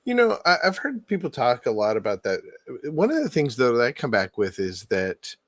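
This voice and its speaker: A man's voice: mid-range voice, wildly swooping pitch, moderate pace, dry recording. A man in his forties co-hosting a casual conversation, rambling and thinking aloud with frequent restarts. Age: 40s. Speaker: podcast co-host.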